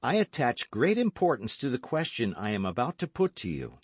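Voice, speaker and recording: A man voicing an eager, brash young gentleman in an audiobook, speaking with emphatic, commanding and affectionate delivery. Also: medium pitch, very animated, normal speed, no echo, voice pushed up. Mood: earnest, insistent. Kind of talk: audiobook character acting.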